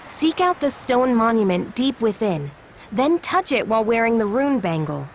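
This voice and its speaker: An actress playing a spirited, commanding woman who leads seafarers' rites in a video game, with a brisk manner. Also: fairly high pitch, sing-song, slight background noise. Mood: brisk, encouraging. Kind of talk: English video game cutscene voice acting.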